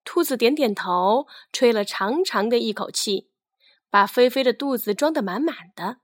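A woman with a fairly high voice tells a fairy tale for children. Her reading is expressive and scripted, and the recording is close and dry. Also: moderate pace, no echo. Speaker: children's storyteller.

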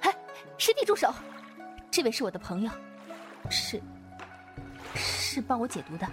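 A woman's voice: fairly high pitch, very animated, moderate pace, over music. A woman playing an easygoing, bashful young Taoist swordswoman in a Chinese wuxia audio drama. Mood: reassuring, placating.

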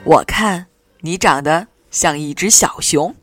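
A woman voicing a big father bear with a rough, loud voice. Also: sits low, slow pace, soft background music. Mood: gruff.

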